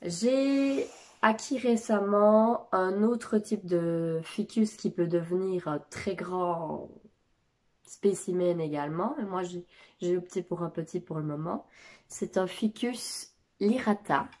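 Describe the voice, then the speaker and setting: A woman in her thirties with a cold and a nasal voice, chatting casually about her houseplants at home, pausing often with filler sounds. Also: lowish voice, a little mellow. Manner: hesitant, many filler sounds. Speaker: plant vlogger. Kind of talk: casual chat. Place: home living room.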